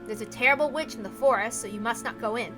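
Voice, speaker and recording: High-voiced woman narrating over music. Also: fast talker. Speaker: reader of a visual novel. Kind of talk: expressive scripted narration. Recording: music playing behind the voice. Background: background music.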